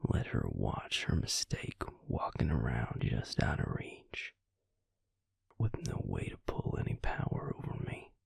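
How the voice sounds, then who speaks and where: A man playing a brooding, protective vampire lover in an intimate audio roleplay, whispering slowly and haltingly with a breathy, gravelly voice. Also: a bass voice, huge pitch swings, dry recording, heavily acted. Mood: bitter, confiding. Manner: slow, halting delivery with pauses.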